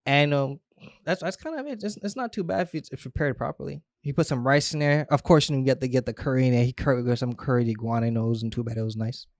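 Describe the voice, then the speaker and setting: A man's voice: mid-range voice, fast talker, dry recording, studio quality. A young man who grew up between Trinidad and Florida, chatting casually as a podcast guest, laughing often as he tells stories. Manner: laughs often, relaxed storytelling with restarts. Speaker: stylist guest. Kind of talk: casual interview chat.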